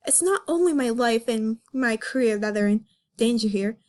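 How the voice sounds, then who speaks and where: Woman acting a high, anguished young female rabbit. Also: animated, normal speed, darkish tone, natural voice. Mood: earnest. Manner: melodramatic, pleading. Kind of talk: amateur dramatic comic reading.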